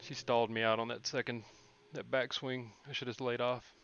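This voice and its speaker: A man's voice: medium pitch, flat delivery, moderate pace, fairly bright tone, dull audio. A man giving casual commentary on his own video game playthrough, often muttering asides to himself. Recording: clearly noisy background.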